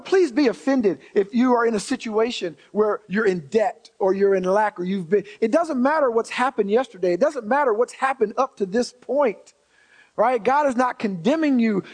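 A man's voice: very high voice, animated, normal speed. A man preaching a sermon to a congregation, emphatically repeating key phrases and slipping in conversational asides and rhetorical questions.

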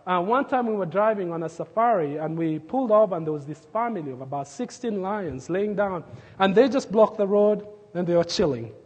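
A man from Kenya, preaching a sermon and telling a story with fillers and restarts, sometimes dropping his voice to a hush. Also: sits high, animated, brisk, husky, in a large hall. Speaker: pastor.

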